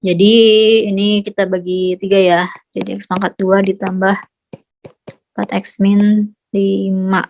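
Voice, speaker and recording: A woman's voice: medium pitch, monotone, unhurried, gravelly, long pauses. Casual woman tutor, lo-fi sound. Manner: chatty, checks understanding often. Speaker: math tutor. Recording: slightly dull lo-fi audio.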